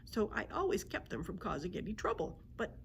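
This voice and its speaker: A woman's voice: mid-range voice, big pitch swings, fairly quick, gravelly, a little hiss or hum. A woman voicing a small, polite but indignant male dragon with a head cold in a children's storybook. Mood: disdainful. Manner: courteous, fussy, complaining.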